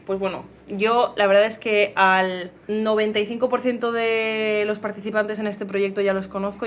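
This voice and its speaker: A woman's voice: mid-range voice, slight background noise. A woman with a Castilian accent from Spain, talking casually as a voice actor being interviewed. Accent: Spanish (Spain). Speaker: amateur voice actor.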